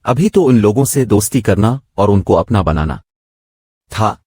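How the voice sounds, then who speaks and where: A man's voice: lowish voice, animated, unhurried, warm tone, slightly rough, dry recording, clean studio sound. A man reading a scripted moral story as its narrator.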